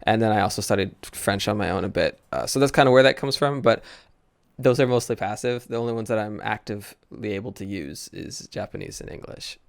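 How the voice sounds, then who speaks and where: A man's voice: lowish voice, brisk, creaky, clean tone, dry recording, studio quality. A man hosting a casual podcast interview, easygoing and hesitant, often restarting his sentences. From United States. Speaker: podcast host.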